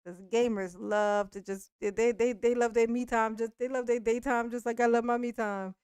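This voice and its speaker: A woman's voice: fairly high pitch, steady tone, moderate pace, a little mellow, smooth, dry recording. A woman in her forties chatting casually on a live stream, speaking bluntly and profanely with frequent restarts and laughs. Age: 40s.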